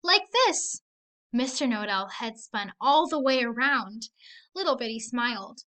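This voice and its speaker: A woman narrating a children's story aloud in an expressive read-aloud style. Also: fairly high pitch, moderate pace. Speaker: storybook reader.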